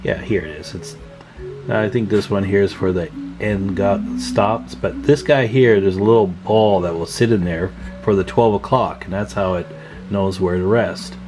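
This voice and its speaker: Low-voiced man in a folksy repair demo. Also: moderate pace, over music. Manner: folksy, informal, thinking aloud. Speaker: radio repair hobbyist hosting a tutorial video. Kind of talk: casual hands-on repair demonstration.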